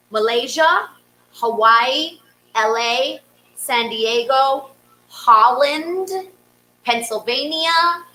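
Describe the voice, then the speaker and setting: Bright, high-voiced woman hosting comedy. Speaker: comedian and show host. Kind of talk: casual comic storytelling and hosting. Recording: roomy echo, faint noise.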